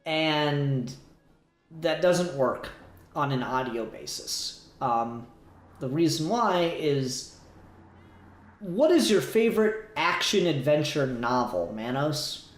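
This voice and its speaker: A man's voice: fairly high pitch, big pitch swings, slow pace. Man, podcast host, informal critical chat, hesitant phrasing, roomy echo. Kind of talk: casual critical discussion. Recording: roomy, echoey home recording.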